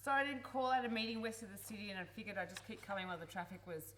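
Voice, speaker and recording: A woman acting a suspicious, exasperated, sharp-tongued grown daughter in a live radio play. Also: medium pitch, racing, close to an everyday voice. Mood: breezy, surprised. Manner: exasperated, sarcastic, emphatic. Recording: echoey live recording, clearly noisy.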